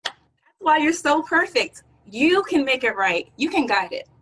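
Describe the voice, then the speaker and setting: A woman playing a calm, persuasive colleague who keeps encouraging a nervous friend. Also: sits high, unhurried, heavily acted. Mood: coaxing. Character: coaxing, encouraging female colleague.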